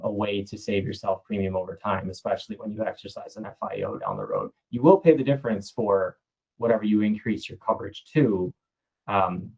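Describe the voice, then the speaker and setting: A man's voice: fairly low pitch, gravelly. A man answering as an insurance agent on a panel. He explains casually and a bit hesitantly, with fillers. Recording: dull lo-fi audio.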